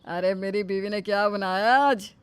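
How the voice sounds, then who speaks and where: A woman voicing a flustered young husband who talks fast and placating. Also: fairly even intonation, clear, resonant tone, no room sound, natural voice. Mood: worried. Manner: quick, low, placating.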